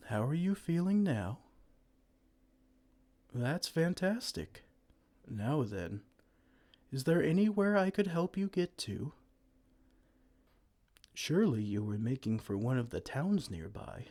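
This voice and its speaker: A man voicing a gentle, kind male giant who talks softly and soothingly in an ASMR roleplay. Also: medium pitch. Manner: soft, soothing, comforting.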